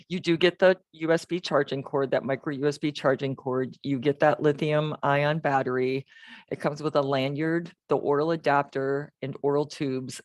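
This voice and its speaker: A man's voice: medium pitch, no room sound. Male presenter, dry sound, instructional delivery. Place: video call with screen share.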